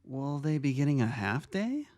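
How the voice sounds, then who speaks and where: An actor playing a mature, gentle vice principal and younger brother in a dramatic story reading. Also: male voice, medium pitch, sing-song, moderate pace, no echo, studio quality, close to an everyday voice. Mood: questioning. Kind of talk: dramatic fan fiction reading.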